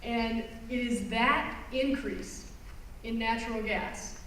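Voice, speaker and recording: A woman lectures to an audience in an earnest, explanatory way, stressing key phrases. Her voice sits fairly high. The recording is far from her, in a very echoey hall with faint noise. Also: fairly even intonation, slow pace, a bit bright. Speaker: environmental lawyer giving a talk. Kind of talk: public presentation. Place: conference hall.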